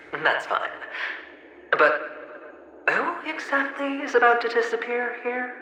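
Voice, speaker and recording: An actor playing a taunting inner double of a young gambler in a video game, theatrical and sneering with drawn-out phrasing, chuckles and an echo effect. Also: male voice, very high-pitched, very animated, pushed and bright, slight background noise, heavily acted. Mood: sneering, amused. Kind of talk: dramatic video game acting. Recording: echoing voice under clear music.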